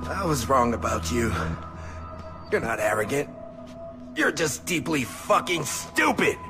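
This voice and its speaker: An actor playing an unhinged, bitter veteran agent haunted by voices, mocking, manic and profane, in a video game cutscene. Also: male voice, mid-range voice, sing-song, a little slow. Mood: menacing, mocking. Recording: music behind.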